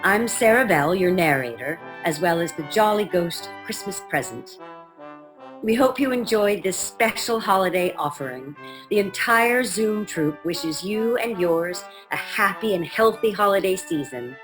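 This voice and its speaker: A woman narrating a scripted radio drama with measured storytelling emphasis. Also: lowish voice, animated, over music, low-quality recording.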